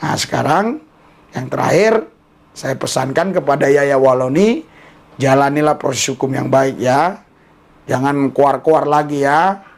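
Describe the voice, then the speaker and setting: A man's voice: medium pitch, unhurried, creaky. A man gives casual commentary with a preaching bent, mocking and repeating himself for emphasis. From Indonesia.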